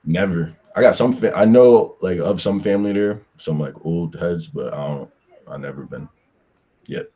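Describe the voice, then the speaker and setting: A young man with an extremely deep voice and a husky edge tells about his football and track years. His talk is loose and rambling, full of slang and "like" fillers, in a casual interview. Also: normal speed, mellow. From Pennsylvania. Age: young adult. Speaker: football player guest.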